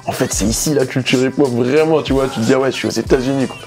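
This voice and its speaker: A young man with a French accent tells anecdotes in a casual chat. He speaks quickly in slangy, lively storytelling style, at an average male pitch. Faint music plays behind him. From France. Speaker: interview guest.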